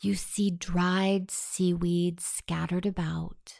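A woman guiding a scripted hypnosis meditation in a soothing, softly breathy delivery. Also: on the low side, level tone, takes it slowly, dry recording, studio quality.

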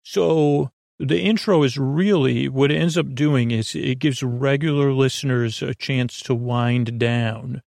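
A man's voice: mid-range voice, steady tone, takes it slowly, creaky, hardly any pauses, darkish tone, no echo, clean studio sound. A man hosting a podcast in a casual, goofy bedtime monologue, meandering and self-deprecating, often trailing off and restarting his sentences. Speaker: podcast host.

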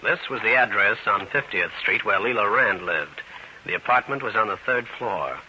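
An American actor playing a tough, brooding New York detective in an old-time radio drama, terse and world-weary. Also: male voice, fairly low pitch, normal speed, close to an everyday voice. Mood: brooding narration. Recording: dull, hissy old radio recording.

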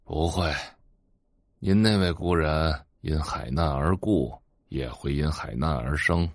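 A cryptic, solemn old seer in an audio drama. His voice is extremely deep and creaky, moving slowly and respectfully. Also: dry recording, clean studio sound, exaggerated voice. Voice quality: aged, gravelly.

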